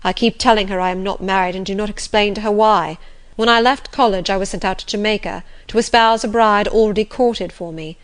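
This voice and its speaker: A woman reader takes on a brooding master of the house in a classic novel. The gentleman speaks with passion and urgency. His manner is imperious and domineering. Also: medium pitch, steady tone, brisk, natural voice. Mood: bitter, impassioned. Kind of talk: audiobook character reading of classic novel.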